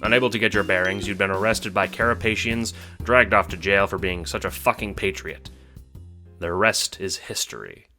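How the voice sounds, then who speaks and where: A man's voice: low voice, moderate pace, bright, forceful tone, faint music behind. A man narrating a scripted audio drama in the second person.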